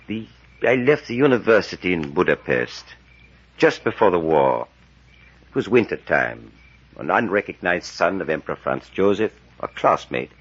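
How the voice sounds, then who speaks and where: An actor playing a grave, scholarly European professor in a 1940s radio serial, deliberate and building to theatrical intensity. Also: male voice, low voice, breathy edge, exaggerated voice. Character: grave elderly male European professor, steeped in dark lore. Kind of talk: 1940s radio acting, dramatic storytelling. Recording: muffled vintage 1940s radio recording.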